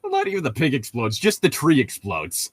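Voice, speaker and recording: Casual, profane man with lively, swooping pitch. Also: moderate pace, breathy edge, dry recording, clean studio sound. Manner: crude joking, profane, laughs often. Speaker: podcast co-host. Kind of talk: casual chat.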